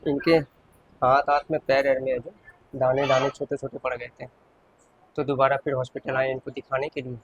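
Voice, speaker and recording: A man's voice: medium pitch, level tone, normal speed, dull audio, background noise. A man in his thirties, a shopkeeper and father, chatting casually to viewers through a family vlog. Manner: chatty, addresses viewers as friends. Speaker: vlogger, shop owner and father.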